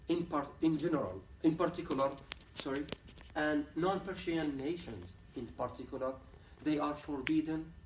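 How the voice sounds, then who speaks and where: A man from Ahwaz gives a conference lecture as a human rights activist. He speaks in earnest, halting English. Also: fairly high pitch, slight background noise. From Ahwaz, southwestern Iran. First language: Arabic. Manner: earnest, plain-spoken, halting non-native English. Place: university lecture room. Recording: echoey room, picked up from a distance.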